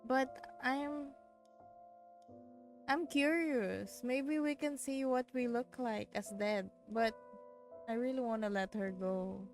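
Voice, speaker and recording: Female game streamer, expressive visual novel reader, unhurried, mid-range voice, faint music behind. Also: a little high. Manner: theatrical, expressive. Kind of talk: dramatic reading of visual novel narration with casual reactions.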